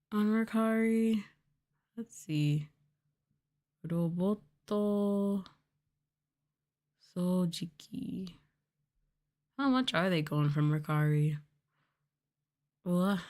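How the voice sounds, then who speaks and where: Soft, low-pitched woman, ASMR streamer. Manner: softly spoken, now and then trailing into whispers. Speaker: livestreamer, VTuber host. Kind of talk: soft-spoken ASMR livestream chat.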